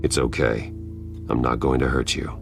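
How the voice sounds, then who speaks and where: An actor playing a grim, protective masked vigilante detective in a video game, speaking tersely in a gravelly growl. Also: male voice, rumbling low, level tone. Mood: grim, focused. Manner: terse, deliberate. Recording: music behind the voice.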